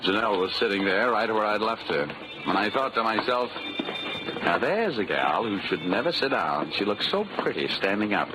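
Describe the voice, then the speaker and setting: An actor playing a cynical, smooth-talking insurance investigator with an American accent in a wry, deadpan 1940s radio drama style. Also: male voice, fairly low pitch, normal speed, rough recording. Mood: wry narration. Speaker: lead actor and narrator. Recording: vintage 1940s radio recording, music behind.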